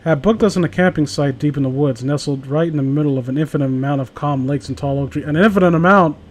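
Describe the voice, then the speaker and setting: A man's voice: a little high, fast, slight background noise. A man reading a story aloud casually with a friend, joking, swearing and breaking off for sarcastic asides. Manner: sarcastic, profane, interrupts own reading with asides.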